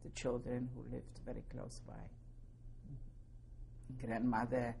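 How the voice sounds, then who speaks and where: A woman in her seventies from Poland, giving oral history testimony slowly and carefully, spelling out names. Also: extremely low pitch, monotone, creaky, mellow, a little hiss or hum. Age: 70s. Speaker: interviewee.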